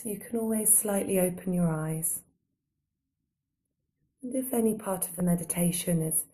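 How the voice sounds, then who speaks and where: A woman guiding a body scan meditation in a calm, gentle, unhurried manner, giving slow soothing instructions with long pauses. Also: on the low side. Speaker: meditation guide.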